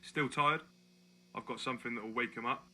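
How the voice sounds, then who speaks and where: A man's voice: mid-range voice, moderate pace, background noise, lightly acted. A man playing a mocking, sycophantic detective constable who taunts a prisoner in a dystopian audio drama. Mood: mocking, menacing. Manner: taunting, sarcastic, sycophantic to his boss.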